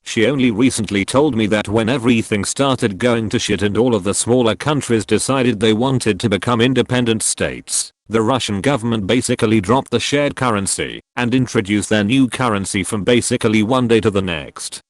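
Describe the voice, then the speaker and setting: A man narrating, reading forum posts aloud in a steady, even delivery. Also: fairly low pitch, normal speed, hardly any pauses, no room sound, clean studio sound.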